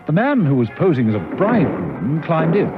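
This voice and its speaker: A suspense serial storyteller. He reads scripted narration with lively, dramatic intonation at a middling pitch. Music plays behind, and the vintage radio recording is slightly dull. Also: moderate pace. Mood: suspenseful.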